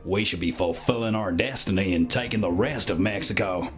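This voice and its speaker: Actor as a brooding, deep-voiced hawkish man. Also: fairly quick, soft background music, lightly acted. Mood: grim, hawkish. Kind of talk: video game dialogue.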